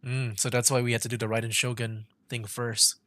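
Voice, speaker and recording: A woman's voice: a bass voice, level tone, brisk, fairly bright tone. A woman giving casual, off-the-cuff livestream commentary as she plays a game, close to the mic. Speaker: streamer playing a game.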